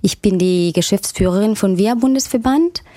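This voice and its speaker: A woman's voice: mid-range voice, moderate pace, mellow, no echo, clean studio sound. A woman from Spain with a Spanish accent, giving unscripted answers as an interview guest. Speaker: managing director, interview guest.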